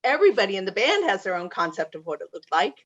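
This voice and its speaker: A woman's voice: medium pitch, sing-song, fast talker. A woman guest chatting casually in an earnest, emphatic way, on slightly dull audio. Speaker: guest, band member. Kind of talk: casual chat.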